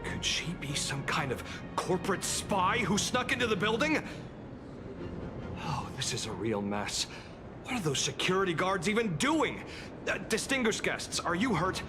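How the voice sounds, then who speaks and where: A man voicing a flustered, eager company agent in a video game, fretful, excitable and deferential. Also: high voice, moderate pace, music in the background. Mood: alarmed, fretful. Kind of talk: animated video game character dialogue.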